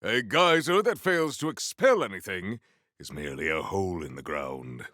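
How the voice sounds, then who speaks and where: Battle-hungry video game warrior: forceful, gravelly, theatrical and declamatory, in a very dry recording. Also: male voice, low voice, very animated, normal speed, dry recording, exaggerated voice. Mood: grave, formal. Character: proud, battle-hungry warrior with grandiose, formal speech. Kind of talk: video game combat barks.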